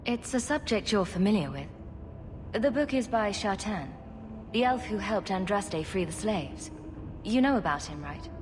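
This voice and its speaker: A woman's voice: mid-range voice, big pitch swings, moderate pace, background noise, close to an everyday voice. An actress playing a female human hero, an apostate mage refugee, speaking in an earnest, direct way. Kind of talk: video game voice acting. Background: game music score.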